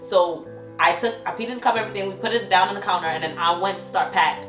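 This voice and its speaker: A young woman chatting casually as co-host, telling an animated story and acting out what people said. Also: mid-range voice, fast. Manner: animated storyteller, acts out quotes. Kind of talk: casual couple chat. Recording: echoey room with music behind.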